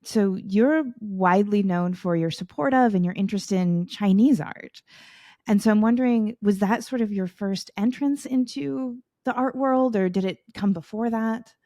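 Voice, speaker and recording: A woman's voice: mid-range voice, moderate pace, mellow, no room sound, studio quality. A woman hosting a podcast interview, welcoming and articulate as she introduces her guests. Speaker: podcast host.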